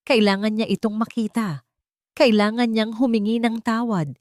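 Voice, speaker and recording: A woman's voice: fairly high pitch, fairly even intonation, moderate pace, a little mellow, dry recording, studio quality. A woman narrating a scripted love story in a suspenseful, theatrical way.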